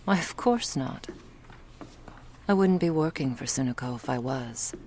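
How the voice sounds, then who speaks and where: A woman's voice: rumbling low, lively intonation, a little slow, a little mellow, a little hiss or hum. A woman playing a stern, protective female vampire bodyguard in an ASMR roleplay, cool and clipped, often close to a whisper. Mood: wary, low-key.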